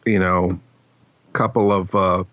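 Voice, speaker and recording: A man's voice: deep voice, level tone, slow pace, fairly bright tone, no room sound. A man hosting a podcast, chatting casually about a script with wry, self-deprecating asides. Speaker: podcast host. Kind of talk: casual behind-the-scenes script discussion. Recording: slightly dull, dry close recording.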